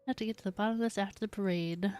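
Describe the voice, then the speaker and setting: A woman's voice: medium pitch, fairly even intonation, rapid-fire, a little mellow, soft background music. A woman streaming a video game, chatting casually and reading the game text aloud. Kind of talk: casual gameplay commentary.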